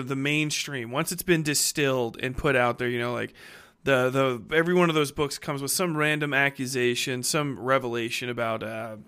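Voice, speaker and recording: A male podcast host with a crisp, forward, bright voice. He rambles through a book discussion in a casual, conversational way and swears freely. His pitch sits in an ordinary middle range.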